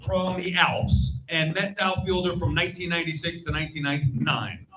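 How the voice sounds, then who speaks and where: A man hosting lively live trivia on stage, blunt and joking, reacting quickly with exclamations. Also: a little high, rough recording. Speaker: game show host at a fan convention panel. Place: live stage before an audience at a convention.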